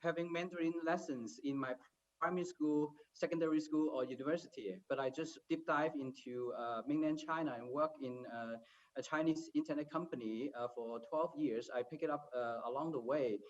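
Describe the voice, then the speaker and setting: A man on a career panel, speaking haltingly with many filler sounds. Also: on the high side. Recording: distant muffled remote audio.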